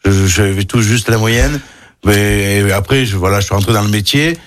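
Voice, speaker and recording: A chef from Marseille with a Marseille accent, talking warmly in a casual radio interview, often restarting his sentences. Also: lowish voice, normal speed, no echo, studio quality. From Marseille, France. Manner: warm, emotional, many restarts.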